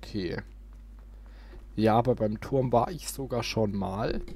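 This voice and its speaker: A man's voice: lowish voice, measured pace, warm tone, slight background noise. A man doing casual let's play commentary, thinking aloud with playful little interjections. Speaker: let's player, channel host. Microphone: close desk microphone. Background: game music.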